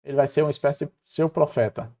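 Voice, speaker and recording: A man's voice: on the high side, quick pace, a little mellow, natural voice. A man with a Northeastern Brazilian accent voicing a reassuring, firm God who gives colloquial, matter-of-fact instructions in a Bible story. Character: God, male, patient but firm, reassuring problem-solver.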